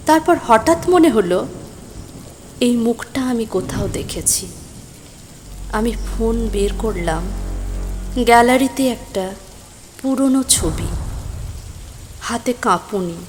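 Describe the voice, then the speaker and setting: A woman playing a stranded, concerned young woman who narrates her own mystery story, emotive and suspenseful, with deliberate pauses. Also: on the high side, animated, slight background noise. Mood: stunned, wistful. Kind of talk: scripted first-person dramatic story narration. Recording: voice over rain and thunder ambience.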